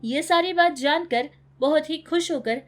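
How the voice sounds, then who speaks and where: High-pitched woman storyteller. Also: measured pace, soft background music. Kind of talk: scripted children's moral story narration.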